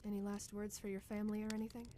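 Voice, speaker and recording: A woman's voice: mid-range voice, level tone, very fast, dark tone, slight background noise, close to an everyday voice. A woman playing a tough, determined, wry young soldier in a radio drama. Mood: sober.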